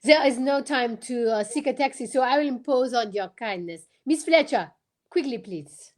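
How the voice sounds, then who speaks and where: Radio mystery sleuth, female performer: courteous male Belgian, high voice, formal phrasing with theatrical flourishes. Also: normal speed, close to an everyday voice. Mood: urgent, insistent. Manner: formal, theatrical, peppered with French exclamations. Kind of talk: old-time radio detective drama acting.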